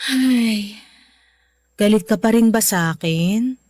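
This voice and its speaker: A woman's voice: medium pitch, takes it slowly, dry recording. A woman acting a tender, apologetic mother in a Filipino radio drama, speaking earnestly and emotionally. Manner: earnest, emotional, soft-hearted. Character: tender, apologetic mother pleading with her grown child.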